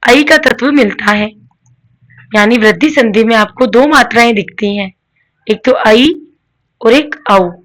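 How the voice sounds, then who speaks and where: Slow, patient woman teacher. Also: on the high side, rough recording. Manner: asks questions and answers them herself; mixes English words into Hindi. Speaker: Sanskrit teacher. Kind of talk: classroom-style lesson.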